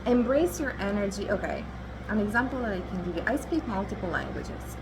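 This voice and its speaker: A woman's voice: mid-range voice, expressive, brisk, noisy background. A young adult woman whose first language is Italian, doing a chatty, assertive tarot reading and laughing often. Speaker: tarot reader.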